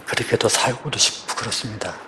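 A man in his fifties, a police officer, giving a quiet, halting personal testimony in church and asking for prayer. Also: fairly low pitch, very noisy. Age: 50s. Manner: quiet, halting, searching for words.

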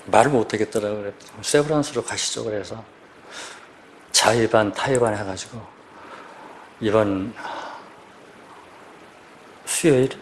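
Casting man, 50s, veteran police officer, low voice, quiet halting testimony before a congregation, faint background hiss. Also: low-quality recording. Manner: quiet, halting, searching for words. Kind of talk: personal church testimony asking for prayer.

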